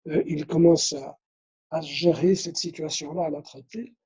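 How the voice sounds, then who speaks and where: A man's voice: a little high, steady tone, normal speed, mellow, slightly rough, dull audio. A man from Belgium whose first language is Dutch, giving a conference lecture, restarting phrases and adding tag questions. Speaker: conference speaker.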